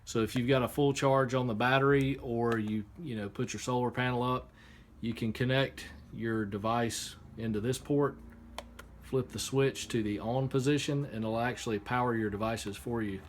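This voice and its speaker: A man giving a casual, unscripted hands-on product demonstration, explaining step by step in a halting way. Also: mid-range voice, fairly even intonation. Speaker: product reviewer. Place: indoor workshop. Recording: clear background noise, room ambience.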